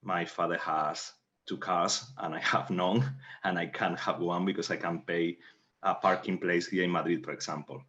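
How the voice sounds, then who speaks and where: A low-voiced man with a Spanish accent answers as a playwright in a panel Q&A. His English is halting and second-language, his pitch stays very level, and the audio is slightly dull. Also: fairly even intonation, a bit bright. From Spain.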